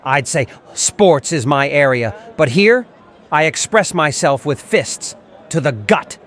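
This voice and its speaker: A man's voice: fairly high pitch, lively intonation, takes it slowly, fairly bright tone. A man voicing a cocky, touchy sporty puppet with a swaggering theatrical manner.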